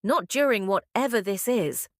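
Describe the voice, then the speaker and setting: A woman's voice: medium pitch, lively intonation, moderate pace, dry recording, studio quality, close to an everyday voice. An actress playing an alarmed, exasperated woman in an audio drama, speaking in emphatic, incredulous questions. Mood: worried, exasperated. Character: alarmed, exasperated young woman, survivor's companion Lucy.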